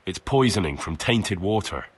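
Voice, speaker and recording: Low-voiced, earnest young medieval commoner. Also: male voice, lively intonation, normal speed, noisy background. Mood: eager. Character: plain-spoken, helpful young commoner in medieval times. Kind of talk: video game cutscene acting.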